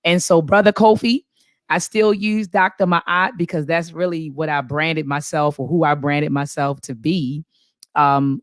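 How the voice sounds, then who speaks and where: A woman in her forties from Baltimore, a professor, telling her story as an interview guest in earnest, lively speech with many fillers. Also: low-pitched, no room sound, clean studio sound. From Baltimore, USA. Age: 40s.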